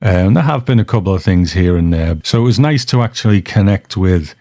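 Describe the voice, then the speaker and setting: A man's voice: low voice, expressive, a little fast, darkish tone, no room sound, studio quality. A man hosting a podcast, casually introducing a guest in conversational talk. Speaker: podcast host.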